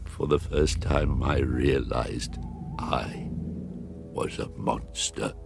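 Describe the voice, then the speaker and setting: An actor playing a lonely, eloquent man-made monster in an audiobook drama, speaking formally and haltingly with a gravelly voice. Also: a bass voice, fairly even intonation, background noise, full character voice. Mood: fearful. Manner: slow, halting, formal old-fashioned speech. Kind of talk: dramatised audiobook monologue and dialogue.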